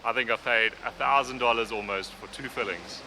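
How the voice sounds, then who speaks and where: A man's voice: medium pitch, brisk, noisy background. A young man with a South African accent, answering viewer questions in an easygoing vlog chat. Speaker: vlogger.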